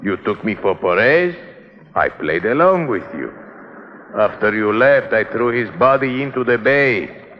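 An actor playing a cold-blooded smuggling boss, suave and mocking, in 1950s drama style. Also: male voice, medium pitch, expressive, a little slow, close to an everyday voice. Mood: smug. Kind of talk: 1950s television drama acting. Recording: muffled, noisy vintage drama soundtrack.

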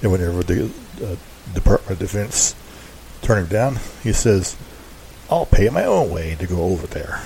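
Gravelly mellow guy introducing old radio shows, rambles and hedges, unscripted, bit of hiss behind him. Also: low voice, expressive, rough recording. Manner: halting, rambling, hedging. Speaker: host introducing an old-time radio show. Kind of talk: casual unscripted background commentary. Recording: faint background hiss.

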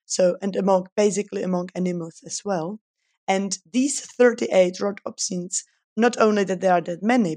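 A woman from the Republic, a research scientist giving thoughtful interview answers with frequent pauses. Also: medium pitch, darkish tone, no echo, studio quality. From Czech Republic. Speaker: guest scientist.

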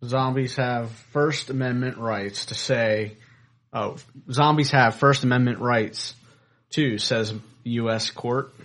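Regular mid pitch guy co-hosting a podcast, just chatting. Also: steady tone, slow, a bit bright. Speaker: podcast co-host. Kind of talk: casual chat.

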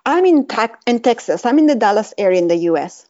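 A woman's voice: fairly high pitch, big pitch swings, fairly quick, dark tone, no echo, muffled recording. A woman from Venezuela with a Venezuelan Spanish accent, a holistic wellness coach telling her story in an interview, earnest and reflective, with a few restarts. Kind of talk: podcast interview, personal story.

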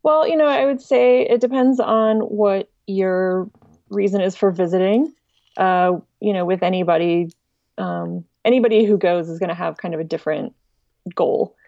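A woman's voice: mid-range voice, normal speed, creaky, warm tone, no room sound, highs cut off. A woman speaking casually and informally as an interview guest, with a wry manner. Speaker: interview guest, director of an online music archive.

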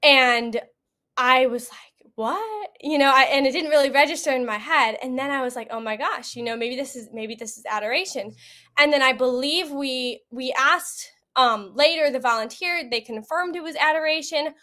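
A woman's voice: sits high, normal speed, bright, forceful tone. A young American woman, a podcast guest giving a casual, chatty first-hand account. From United States. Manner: chatty, conversational.

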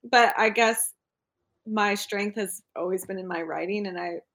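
A woman's voice: mid-range voice, measured pace, a bit bright. A woman guest in a casual podcast interview, talking with frequent laughs and a self-deprecating manner.

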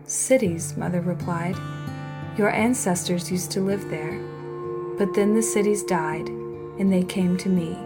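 A woman reading a scripted audio story in a measured storytelling manner, softening on lines of dialogue. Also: fairly low pitch. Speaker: fiction narrator. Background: music under the voice.

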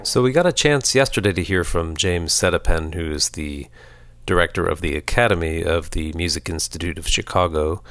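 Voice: low voice, moderate pace, few pauses, a bit bright. An interviewer hosting an informal on-location conversation, talking in an encouraging, conversational way. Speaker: interviewer, host. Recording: busy crowd chatter behind. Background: crowd chatter.

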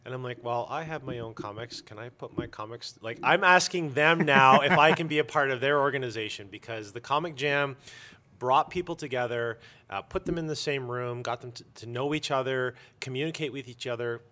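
A man telling stories informally as an interview guest, animated and a little self-deprecating. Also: medium pitch, a little fast. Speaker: interview guest, comic store owner. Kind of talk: casual storytelling interview.

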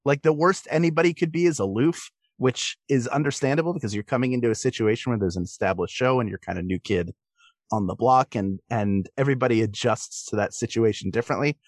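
A man chats casually as a guest in an interview. His pitch sits in the middle of the male range, and the sound is very dry, with no room around it. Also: quick pace, no room sound. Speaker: podcast guest, performer.

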